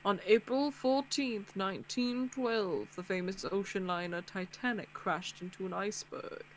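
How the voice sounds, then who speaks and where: A woman voicing an ominous game master in a visual novel, speaking slowly, solemnly and formally. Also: sits high, steady tone, fairly bright tone, a little hiss or hum, poor audio. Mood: grave, menacing. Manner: slow, solemn, deliberate.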